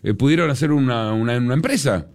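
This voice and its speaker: Argentine man hosting a casual, halting chat. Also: mid-range voice, animated. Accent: Rioplatense Argentine Spanish. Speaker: host of a live call-in show. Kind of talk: casual chat, informal interview.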